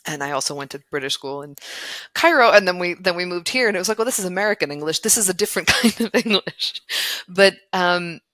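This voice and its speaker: A woman's voice: fairly low pitch, animated, quick pace, a bit bright, no echo. A woman novelist who grew up in the former Yugoslavia and speaks Serbo-Croatian natively, talking thoughtfully in a podcast interview with many restarts. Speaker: novelist guest.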